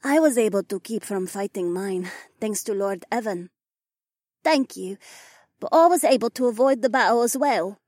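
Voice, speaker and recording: A woman's voice: medium pitch, lively intonation, normal speed, a little mellow, no echo, studio quality, natural voice. A woman voicing a proud, wounded elf noblewoman and sword warrior in an audiobook. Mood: hurt. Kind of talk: audiobook character dialogue.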